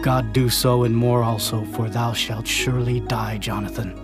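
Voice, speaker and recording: A man's voice: medium pitch, fairly even intonation, moderate pace, over music, close to an everyday voice. A man playing a proud, self-justifying warrior king in a dramatized Bible recording, speaking emphatically and declaiming his lines. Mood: grim.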